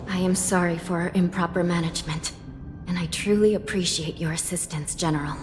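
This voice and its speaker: Woman as a low-voiced solemn judge, echoing hall. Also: steady tone, brisk, close to an everyday voice. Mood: apologetic. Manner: formal, grave. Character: solemn, deferential female judge of a fantasy prison. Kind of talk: dramatic video game voice acting. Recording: long hall reverb, clear music behind.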